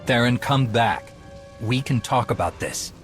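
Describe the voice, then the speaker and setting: An actor playing an earnest, resolute Jedi commander in a cinematic video game, speaking with authority. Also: male voice, mid-range voice, a little slow, faint music behind, close to an everyday voice. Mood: pleading.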